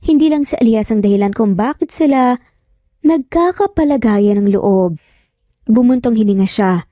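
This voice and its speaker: A woman's voice: fairly high pitch, animated, normal speed, warm tone, no room sound, studio quality. A woman narrating a romance story, acting out the dialogue as she goes. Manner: acts out dialogue between narrated lines. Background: background music.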